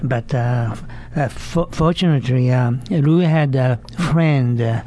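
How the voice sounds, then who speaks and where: Man, eighties, Japanese accent, medium pitch, halting speech with repeated words, studio interview guest. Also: warm tone, slight background noise. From Kyoto, Japan. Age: 80s.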